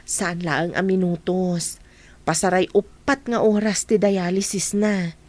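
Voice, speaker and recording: A woman's voice: on the low side, steady tone, unhurried, a little mellow, dry recording, lightly acted. A middle-aged woman playing a kindly, helpful auntie in an audio drama, with an Ilocano Filipino accent.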